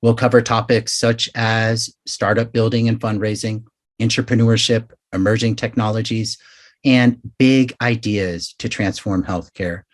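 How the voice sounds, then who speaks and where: Low-voiced man hosting a podcast. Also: fairly even intonation, unhurried. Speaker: podcast host. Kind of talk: scripted intro, then interview.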